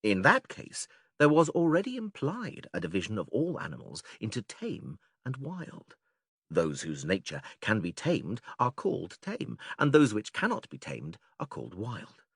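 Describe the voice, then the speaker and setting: A man playing a patient, methodical philosopher who leads an argument in a dramatised classical dialogue, measured, formal and questioning. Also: on the low side, huge pitch swings, a bit bright, slightly rough, no room sound, clean studio sound, exaggerated voice. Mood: didactic. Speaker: audiobook actor reading philosophical dialogue.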